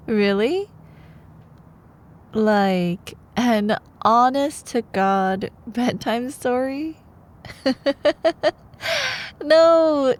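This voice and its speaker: A woman acting as a sweet, teasing college-age girlfriend in a bedtime ASMR roleplay. Her voice is highish and very expressive, with an extremely unhurried pace. She is soft and playful, easing now and then into breathy murmurs. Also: heavily acted. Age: young adult. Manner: soft, playful, giggly, slipping into breathy whispers. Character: sweet, teasing young girlfriend, a sleepy-hours college student. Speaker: ASMR voice performer.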